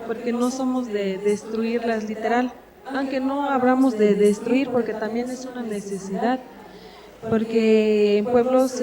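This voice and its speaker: Hesitant woman with crowd around. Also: on the high side. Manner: hesitant, many fillers and restarts. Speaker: audience member asking a question. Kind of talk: spontaneous conference floor comment. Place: university conference room with audience. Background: audience in the room.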